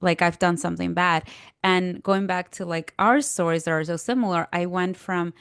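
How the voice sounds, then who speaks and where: Clear-voiced Peruvian woman telling her story. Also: on the low side, fairly even intonation, normal speed, fairly bright tone, dry recording, clean studio sound. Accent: Peruvian Spanish. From Peru. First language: Spanish. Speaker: podcast guest, career coach. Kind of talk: personal storytelling in a podcast conversation.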